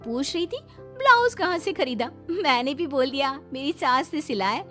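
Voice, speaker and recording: Woman doing a bright eager young bahu for a cartoon, high voice, music under it. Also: lively intonation, normal speed. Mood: excited. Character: cheerful, supportive young daughter-in-law, a working engineer. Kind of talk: Hindi moral-story cartoon dialogue. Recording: music behind the voice.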